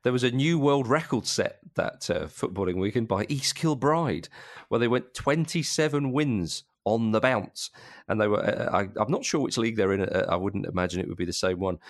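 A man hosting a football podcast, chatting and telling stories in a jokey, animated way. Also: fairly low pitch, normal speed, no echo, clean studio sound. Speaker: podcast host.

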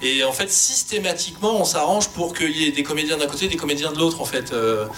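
Higher-voiced man explaining, rumbly background noise. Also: fairly quick, bright, forceful tone. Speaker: audio fiction sound crew member on an expert panel. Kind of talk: informal panel talk, explaining production.

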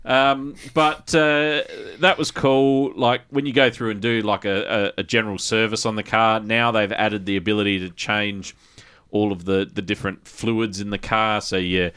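Aussie guy on a gaming podcast, lowish smooth voice, sweary, kinda halting casual chat. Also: flat delivery, a bit bright. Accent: Australian. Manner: sweary, colloquial, halting with repeated phrases.

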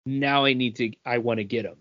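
A man's voice: mid-range voice, a little fast, no echo, lo-fi sound. A man co-hosting a podcast in casual chat, thinking aloud and filling with many "like"s. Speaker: podcast co-host.

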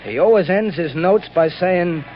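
A man's voice: high voice, unhurried, soft background music. An actor playing a grumbling, jittery city policeman in 1930s radio style. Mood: uneasy, grumbling. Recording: muffled old radio.

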